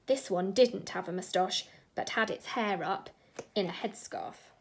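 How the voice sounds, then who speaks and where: A woman reading a children's bedtime story aloud in an expressive narrating style. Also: mid-range voice, unhurried, gravelly, slightly rough.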